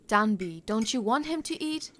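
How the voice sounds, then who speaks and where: A woman playing a gentle, welcoming young band member in an audio drama, speaking in a coaxing way. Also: mid-range voice, animated, takes it slowly, a little hiss or hum.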